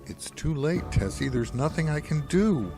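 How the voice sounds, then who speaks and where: A man's voice: fairly high pitch, expressive, normal speed, over music. A man acting a resigned village husband and father in a radio drama, subdued and grimly obedient.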